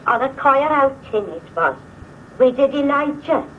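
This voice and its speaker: An actress playing a nostalgic elderly village auntie with a Welsh accent, musing and rambling in homely 1950s film dialogue. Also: female voice, sits high, expressive, a little slow. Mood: wistful, rambling. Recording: tinny old film sound on hissy cassette.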